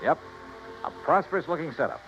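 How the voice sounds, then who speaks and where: An American man acting a breezy, shrewd insurance investigator in a 1950s radio drama, with a wry, brisk, slangy delivery. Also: on the high side, expressive, lightly acted. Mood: matter-of-fact narrating. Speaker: lead actor and narrator. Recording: muffled 1950s radio recording, music.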